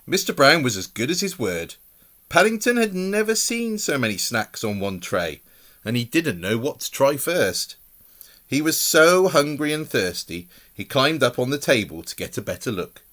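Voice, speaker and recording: A man reading a picture book aloud to children in an expressive way, adding explanations and questions for the young listeners. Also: mid-range voice, normal speed.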